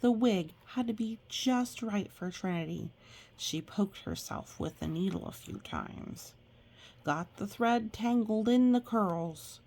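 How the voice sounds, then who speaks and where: A woman reads a picture book aloud at an easy, slow pace. Her voice is raspy with plenty of fry. She slips into chatty asides, explaining gently as if to small children. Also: medium pitch. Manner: expressive, exclaiming and gasping in reaction to pictures.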